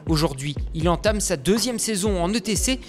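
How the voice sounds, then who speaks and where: High-voiced male sports narrator over music. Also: brisk. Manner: steady, informative voice-over. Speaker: documentary narrator.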